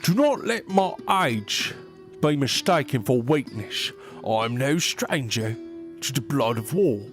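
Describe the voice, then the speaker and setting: An actor playing an aged, formal royal advisor in a fantasy audio drama, measured and earnest. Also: male voice, mid-range voice, big pitch swings, over music. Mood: insistent. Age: older.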